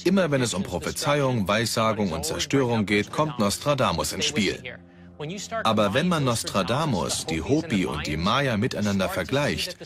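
A man's voice: fairly low pitch, big pitch swings, moderate pace, over music. A man presenting a documentary in scripted, dubbed narration.

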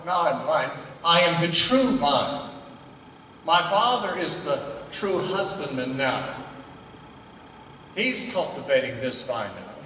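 A man's voice: high voice, sharp tone, reverberant, big-room sound, distant mic, slight background noise, poor audio. An older man preaching a sermon into a handheld mic, asking questions and answering them himself. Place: indoor meeting room.

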